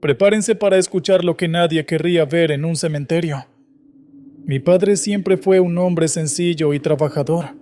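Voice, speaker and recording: A man reading scripted first-person horror stories in a steady, measured way. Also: a little high, slight background noise. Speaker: horror story narrator.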